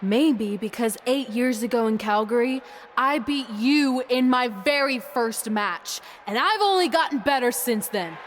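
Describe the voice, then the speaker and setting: A woman's voice: on the high side, unhurried, a little hiss or hum, lightly acted. An actress playing a determined, loyal young woman wrestler, speaking in an earnest, assertive way. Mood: defiant. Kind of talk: scripted game cutscene dialogue.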